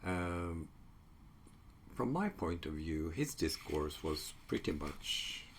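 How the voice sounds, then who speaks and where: A very deep, gravelly-voiced man whose first language is Swedish speaks slowly in a casual solo talk. He halts often with fillers and restarts. Faint noise sits under the recording. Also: bright, forceful tone. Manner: halting, many fillers and restarts. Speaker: psychology researcher.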